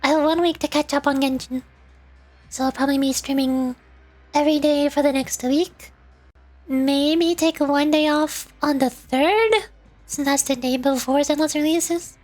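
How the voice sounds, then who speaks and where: High-voiced, playful woman streaming a game. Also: fairly even intonation, a little slow, a little mellow, faint music behind. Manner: playful, says cute sound-effect words aloud. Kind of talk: casual livestream gameplay chat.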